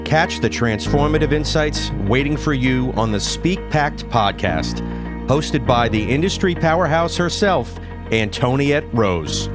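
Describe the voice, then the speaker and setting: A man's voice: medium pitch, lively intonation, measured pace, music in the background. A man reading a polished, promotional podcast intro as announcer.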